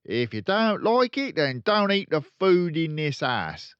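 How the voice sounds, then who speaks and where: A man voicing a crooked, boastful, bullying middle-aged father in a children's storybook, loud and emphatic. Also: on the high side, big pitch swings, slow, clean tone, dry recording, highs cut off, pitched above the performer's own voice, exaggerated voice. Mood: angry, bullying. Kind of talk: children's storybook read aloud.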